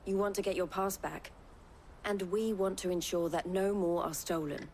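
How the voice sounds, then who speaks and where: A woman's voice: mid-range voice, fairly even intonation, moderate pace, a little mellow, slight background noise, natural voice. An actress playing a shrewd, composed female merchant leader who speaks formally and articulately in a fantasy video game. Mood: grave, composed. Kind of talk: scripted fantasy game dialogue.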